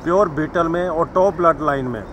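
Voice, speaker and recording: A man from Himachal Pradesh showing his goats for sale outdoors at his farm, repeating the same sales lines to viewers he calls friends. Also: normal speed. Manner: repetitive sales pitch, addresses viewers as friends. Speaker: goat farm seller. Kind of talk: walk-around sales video. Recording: outdoor noise in background.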